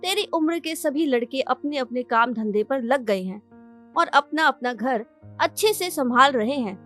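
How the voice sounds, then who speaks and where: A worried village mother played by a woman in a cartoon, nagging and pleading in a high voice. Music sits behind her. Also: expressive, moderate pace, a bit bright. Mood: worried, scolding. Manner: pleading, admonishing. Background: background music.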